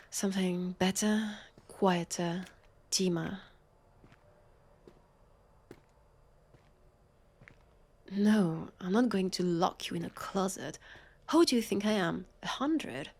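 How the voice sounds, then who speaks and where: A woman with a French accent playing a sardonic, weary, centuries-old vampire police officer in an intimate audio roleplay, breathy and sighing. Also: fairly low pitch, measured pace. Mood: teasing. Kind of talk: intimate scripted audio roleplay addressed to listener.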